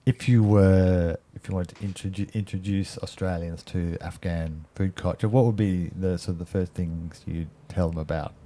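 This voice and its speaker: A man's voice: low voice, steady tone, moderate pace, few pauses, dark tone. A man interviewing someone casually, tentatively rephrasing his questions as he goes.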